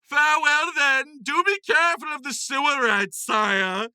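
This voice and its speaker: A man's voice: very high voice, a little slow, sharp tone, no echo, pitched above the performer's own voice, exaggerated voice. A man voicing a theatrical costumed wizard hawker, declaiming pompously in mock-medieval style for an audiobook. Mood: showy salesmanship. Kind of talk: acted audiobook dialogue.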